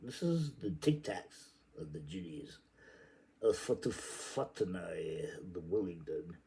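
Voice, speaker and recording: Male literary reader, slow-paced and theatrical, playful and exclamatory, with big swoops in pitch. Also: expressive, dark tone, poor audio. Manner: playful, exclamatory delivery with sudden outbursts. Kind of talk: theatrical literary reading aloud.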